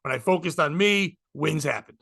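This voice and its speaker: A man in his forties with a high, gravelly and bright voice. He chats candidly and rambles as a podcast host, swearing freely. The sound is dry and close. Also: normal speed, no room sound. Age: 40s. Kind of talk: casual chat.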